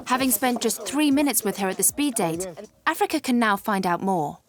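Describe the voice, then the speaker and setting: A woman's voice: fairly high pitch, expressive, fairly quick. A woman reading scripted narration as the narrator of a documentary. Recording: music behind the voice.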